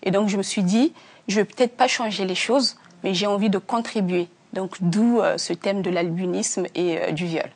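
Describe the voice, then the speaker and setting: A woman from Africa, an author being interviewed, answering earnestly with many pauses and restarts. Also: medium pitch, steady tone, darkish tone. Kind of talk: hesitant conversational interview answers.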